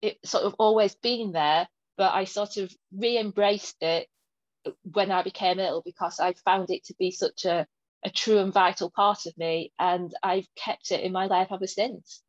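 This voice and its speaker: A woman's voice: medium pitch, steady tone, moderate pace, no room sound, highs cut off. A woman from Lancashire with a mild Lancashire accent, answering interview questions as a guest author in an articulate, reflective way. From Lancashire, England.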